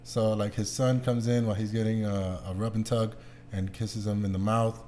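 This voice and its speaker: A man's voice: on the low side, little pitch movement, normal speed, mellow. Slangy male podcast host, noisy background. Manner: joking, slangy, profane. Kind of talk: casual chat. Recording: clear background noise.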